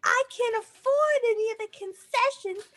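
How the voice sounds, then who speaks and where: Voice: extremely high pitch, moderate pace, fairly bright tone. A performer voicing a meek, pleading poor orphan child in an improv comedy sketch.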